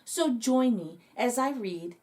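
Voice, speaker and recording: A woman reading a children's poem aloud in an expressive, dramatic storytelling manner. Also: on the high side, slow pace. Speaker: children's story reader and host. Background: background music.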